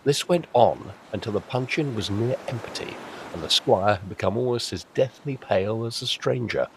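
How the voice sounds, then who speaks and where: A man's voice: lowish voice, moderate pace, slight background noise, rough recording. A man narrating a scripted audio drama as a dramatic storyteller. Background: music.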